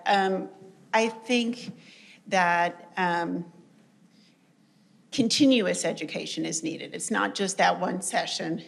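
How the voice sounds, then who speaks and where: Loud, gravelly-voiced woman clinician. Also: medium pitch. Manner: direct, practical advice to patients. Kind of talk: panel discussion remarks.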